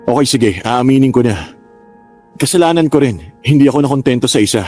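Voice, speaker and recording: An actor playing an exasperated but frank two-timing suitor in a radio drama, arguing earnestly. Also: male voice, medium pitch, a little fast, darkish tone, faint music behind, close to an everyday voice. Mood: frank, frustrated. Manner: argumentative, earnest.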